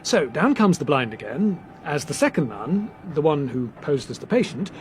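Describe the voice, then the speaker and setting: Young English amateur sleuth, fairly high voice, jaunty, teasing, radio drama, faint background rumble. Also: male voice, sing-song, moderate pace, a little mellow. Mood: dramatic relish. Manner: jaunty, teasing, theatrical storytelling. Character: breezy, quick-witted young Englishman playing amateur detective. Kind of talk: British radio drama acting.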